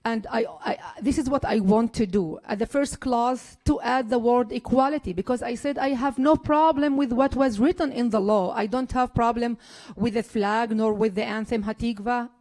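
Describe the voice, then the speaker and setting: A woman from Israel, a politician speaking on a discussion panel. She talks with earnest passion, often restarting her sentences, in a voice pitched a touch above the usual. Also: moderate pace, hardly any pauses, darkish tone. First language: Arabic. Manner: impassioned, earnest, frequent restarts.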